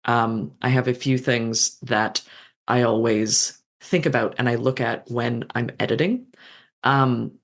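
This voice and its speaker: An interview guest with a mid-range voice speaks as an author reflecting on craft. The talk is informal and thoughtful, feeling its way through ideas with pauses, slang and the odd swear word. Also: mid-range voice, steady tone.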